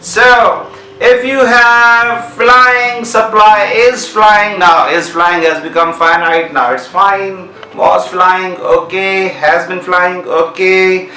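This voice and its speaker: A man's voice: high voice, fairly even intonation, slow, sharp tone, reverberant, distant mic, slight background noise, rough recording. A man with a Pakistani English accent teaching a grammar lesson, repeating points and checking understanding with questions. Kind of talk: classroom grammar lecture.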